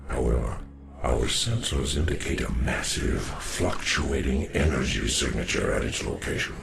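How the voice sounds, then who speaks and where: A man playing a grave, wary old alien warrior in a video game, speaking solemnly and formally with a gravelly voice. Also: very deep voice, heavily acted. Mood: brooding. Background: music.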